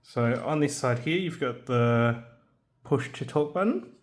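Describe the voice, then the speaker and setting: A man's voice: mid-range voice, expressive, measured pace. A man with a young son, showing a handheld radio kit in a casual, hesitant way with frequent ums and restarts. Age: adult, has a young son. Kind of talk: casual hands-on product demo.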